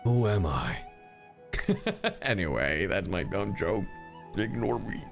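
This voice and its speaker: A man's voice: lowish voice, big pitch swings, slow pace, vocal fry. A young man giving casual live reactions as a game streamer, slangy and joking, with rambling asides. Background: game music.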